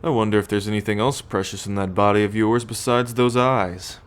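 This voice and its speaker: A low-pitched, haughty alien military captor, acted out by a man for an ASMR roleplay. He talks in measured, imperious phrases, musing and sighing, with a teasing possessiveness and cool menace toward his captive. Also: fairly even intonation, clear, resonant tone, natural voice. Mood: teasing, possessive. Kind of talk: ASMR roleplay acting, addressed to the listener.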